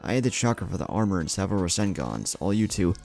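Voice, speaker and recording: Guy doing a headstrong teen ninja boy in a story, terse and insistent, deep creaky voice. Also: very animated, fast talker, darkish tone, soft background music, heavily acted.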